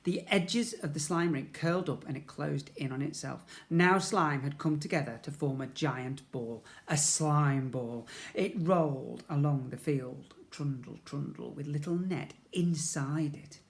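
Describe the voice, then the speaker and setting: A man reads a storybook aloud for young children. His delivery is expressive and playful, with dramatic emphasis, repeated words and spoken sound effects. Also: fairly high pitch, measured pace, gravelly, few pauses. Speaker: primary school teacher reading to children.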